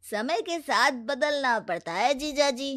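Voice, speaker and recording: A woman voicing a cocky, excitable young male student in a cartoon moral story. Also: high voice, slow, full character voice.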